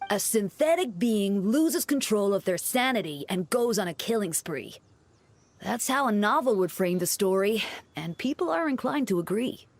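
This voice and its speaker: A woman's voice: medium pitch, expressive, moderate pace. A woman voicing a confident, sly young church deacon in a video game, with a wry manner. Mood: thoughtful. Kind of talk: English video game dub. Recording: music behind the voice.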